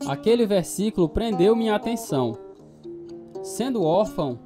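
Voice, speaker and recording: A man's voice: sits high, expressive, moderate pace, over music. A man acting as a troubled, restless narrator telling his life story in a radio drama, with a Brazilian accent. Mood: somber, confessional.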